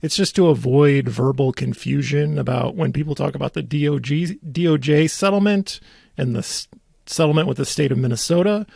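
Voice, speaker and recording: A man's voice: on the high side, fairly quick, creaky, darkish tone, slightly rough, no room sound, clean studio sound. An American man talking casually as a talk show host about local politics, with a wry, sardonic manner.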